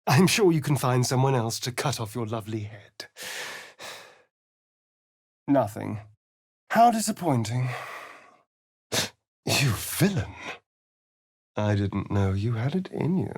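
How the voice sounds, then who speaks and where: A haughty, theatrical male vampire rogue from a video game. His sardonic lines are drawn out at an unhurried pace and swing widely in pitch. The sound is very dry, with an arch, sly edge to every phrase. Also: dry recording. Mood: menacing. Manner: arch, drawling, sardonic, dramatic. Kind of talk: video game character voice acting.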